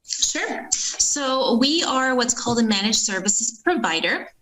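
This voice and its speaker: A woman guest on a podcast, a business owner who answers in an earnest, steady way with frequent ums. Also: on the high side, unhurried, slight background noise, poor audio. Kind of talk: interview answers.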